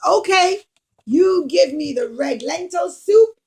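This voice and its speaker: A woman voicing a rude, greedy young hunter in a puppet show, exclaiming loudly with whiny impatience. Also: very high voice, animated, slow, pitched above the performer's own voice, heavily acted. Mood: careless, eager.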